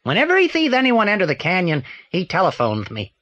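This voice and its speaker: An actor with a British accent playing a reclusive, secretive old film actor in a children's audio drama, deliberate and theatrical. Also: male voice, high-pitched, wildly swooping pitch, sharp tone, no room sound, highs cut off, heavily acted. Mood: confessional.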